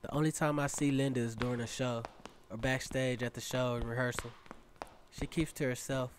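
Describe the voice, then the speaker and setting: A man's voice: mid-range voice, fairly even intonation, fairly quick, slight background noise, natural voice. A man acting an earnest, lovestruck seventeen-year-old boy who reflects aloud as he reads his letters in a radio drama. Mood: smitten, admiring.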